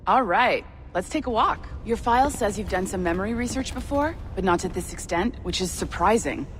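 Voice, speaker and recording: A woman's voice: medium pitch, lively intonation, fairly quick, slight background noise, close to an everyday voice. A woman playing an upbeat, friendly studio guide in a video game, talking in an encouraging way. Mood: upbeat, welcoming. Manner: encouraging, slipping into French greetings. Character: upbeat, friendly female studio guide welcoming a new hire. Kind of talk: scripted video game acting.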